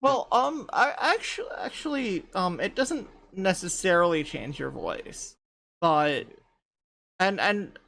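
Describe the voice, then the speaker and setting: Woman, thirties, light voice lacking chest resonance, gravelly, halting with restarts, faint hiss. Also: fairly low pitch, fairly bright tone. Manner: halting, many restarts and self-corrections. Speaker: podcast panelist. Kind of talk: casual group chat.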